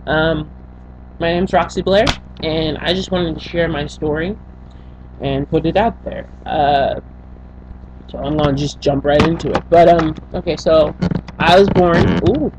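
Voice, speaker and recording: A young woman from Senegal tells her life story to a webcam in a small bedroom. She talks in a halting way, with many fillers and restarts. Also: deep voice, fairly even intonation, a little mellow. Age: young adult. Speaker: vlogger. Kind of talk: personal storytelling to camera. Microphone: built-in webcam mic. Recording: dull lo-fi webcam audio with faint hum.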